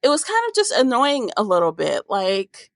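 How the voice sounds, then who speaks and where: A woman's voice: mid-range voice, wildly swooping pitch, normal speed, dry recording. A woman podcast host chatting casually about a book in an opinionated, informal way. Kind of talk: casual book discussion chat.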